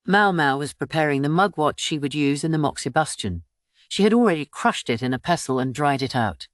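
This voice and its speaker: A woman's voice: sits low, expressive, brisk, no echo, clean studio sound. A woman reading scripted story narration for an audiobook.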